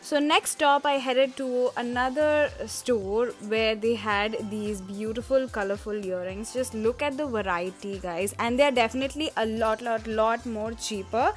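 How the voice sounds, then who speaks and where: A woman's voice: on the high side, fairly even intonation, a little slow, few pauses, soft background music. A woman, chatting upbeat to viewers while walking through shops in a casual shopping vlog. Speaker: fashion vlogger. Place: outdoor street market and indoor shopping arcade.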